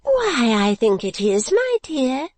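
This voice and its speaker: Female reader as small, good-humoured gossip in period novel: high-pitched, arch, teasing, dry sound. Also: wildly swooping pitch, slow, no echo, heavily acted. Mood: sly teasing. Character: sly, chatty little spinster friend, good-humoured. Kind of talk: dramatised classic novel reading.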